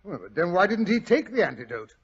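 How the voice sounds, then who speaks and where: Excitable, proper British gentleman companion, higher-pitched and husky, vintage radio drama. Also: a little fast, exaggerated voice. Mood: questioning. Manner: blustering, exclamatory, proper. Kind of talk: 1940s radio acting. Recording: muffled 1940s radio broadcast.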